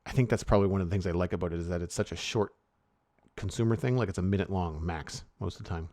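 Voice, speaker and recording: A man's voice: low voice, fast, dark tone, no echo. A man co-hosting a podcast, chatting casually and rambling with many restarts and fillers. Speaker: podcast co-host.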